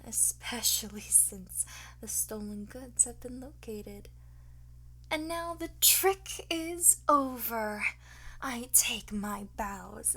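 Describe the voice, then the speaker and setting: Woman playing a slow, teasing, smug lady thief. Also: fairly high pitch, pushed and bright, a little hiss or hum, heavily acted, rough recording. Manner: flirtatious, theatrical, smug. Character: teasing, boastful female master thief under interrogation. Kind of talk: ASMR roleplay, breathy whisper and soft speech.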